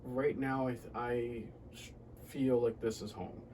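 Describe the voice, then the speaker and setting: Halting, mellow-voiced American man being interviewed. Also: medium pitch, flat delivery, far from the mic, a little hiss or hum, low-quality recording. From United States. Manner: halting, thoughtful, pausing mid-sentence. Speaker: podcast guest. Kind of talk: casual reflective interview answers.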